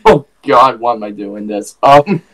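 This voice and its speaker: A man's voice: medium pitch, animated, unhurried, fairly bright tone, rough recording. A man on a voice call, nervous and flustered, laughing a lot. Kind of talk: casual voice-chat roleplay.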